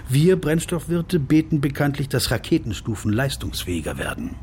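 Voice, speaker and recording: Animated, devout male rocket engineer played by an actor. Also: medium pitch, normal speed, a little hiss or hum, natural voice. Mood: fervent, earnest. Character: pious, enthusiastic male rocket fuel engineer. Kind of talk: radio drama acting.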